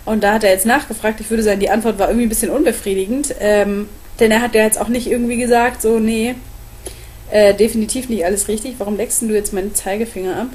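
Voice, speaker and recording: A woman's voice: medium pitch, fairly even intonation, fast talker, darkish tone, a little hiss or hum. A young adult woman chatting casually and informally to camera as a vlogger, recorded at home on a webcam. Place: small room at home, on a sofa.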